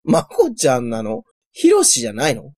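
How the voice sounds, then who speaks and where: A woman's voice: rumbling low, huge pitch swings, normal speed, darkish tone, heavily acted. A woman playing a cheerful, helpful young witch girl in a comic radio drama, with a cutesy, exclaiming manner. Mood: puzzled.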